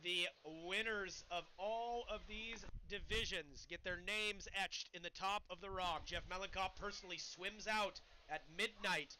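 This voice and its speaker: A man with a loud, booming voice giving playful live commentary at a surf contest from an outdoor beach booth, hyping big waves. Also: a little slow, hardly any pauses, slight background noise, poor audio.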